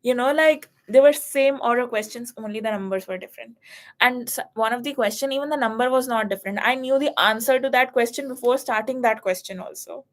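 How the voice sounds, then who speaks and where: A young woman in her twenties from India, chatting casually and telling an animated story about passing an exam, swearing now and then. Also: fairly high pitch, fairly quick. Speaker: student. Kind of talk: casual chat.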